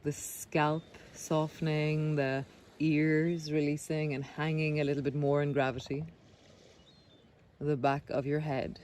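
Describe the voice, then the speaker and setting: A man leading a guided body scan meditation, speaking gently and unhurriedly with pauses between phrases. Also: a little high, little pitch movement. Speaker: meditation guide, breath coach. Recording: clear background noise of sea sounds. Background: sea sounds.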